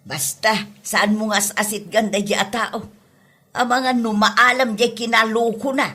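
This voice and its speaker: A suspicious mother in a family comedy drama, acted by a woman. She frets in a husky voice that sits a little high. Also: steady tone, unhurried, pushed and bright.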